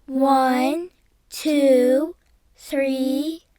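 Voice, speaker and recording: Young excitable bear cub played by a female reader, childlike high voice, slow careful delivery, picture-book read-along. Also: no room sound. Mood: earnest. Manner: childlike, wide-eyed.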